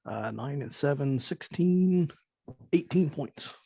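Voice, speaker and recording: A man with a creaky, raspy, mid-pitched voice chats casually as a tabletop player. The recording is muffled and dry. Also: lively intonation, takes it slowly, mellow, no echo. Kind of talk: casual game-table chat.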